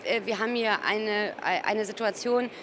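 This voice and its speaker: A woman from Cologne speaking informally as a gallerist in an interview, often pausing mid-sentence to search for her words. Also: medium pitch, fairly even intonation, a bit bright, soft background music. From Cologne, Germany. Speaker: gallerist presenting artworks. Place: busy exhibition hall at an art fair. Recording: noisy art fair ambience. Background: ambient fair noise.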